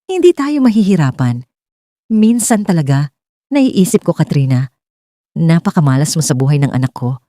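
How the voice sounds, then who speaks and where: A woman performs a bitter older mother-in-law from an audio romance drama. She nags and mocks with a highly animated, rising pitch. The sound is close and dry. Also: moderate pace, long pauses, no echo. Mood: bitter, blaming. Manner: nagging, shouting, mocking. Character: sharp-tongued, bitter older mother-in-law, scolding and blaming.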